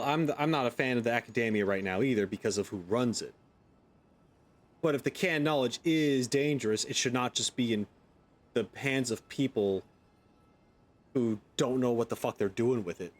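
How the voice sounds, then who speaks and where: A man giving casual reaction commentary as a game streamer, opinionated, informal and jokey. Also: mid-range voice.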